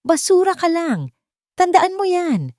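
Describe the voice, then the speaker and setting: A woman's voice: very high voice, huge pitch swings, unhurried, darkish tone, no echo, studio quality, voice pushed up, full character voice. A woman voicing a furious, venomous elderly matriarch in an audio love drama, scolding with contempt. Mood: enraged.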